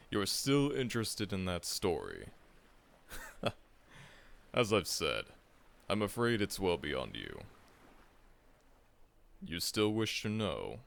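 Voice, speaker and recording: A man's voice: low voice, huge pitch swings, slow, creaky, pauses often, a bit bright, exaggerated voice. A man voicing a seasoned, friendly veteran monster hunter in an audio roleplay, chuckling often and teasing the listener.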